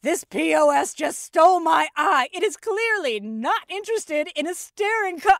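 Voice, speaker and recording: A woman's voice: very high-pitched, expressive, moderate pace, vocal fry, no echo, studio quality, heavily acted. A woman playing a melodramatic, profane, panicked and indignant woman in an audio fiction drama. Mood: screaming outrage. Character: Gloria, foul-mouthed, panicked, screaming woman under demonic attack.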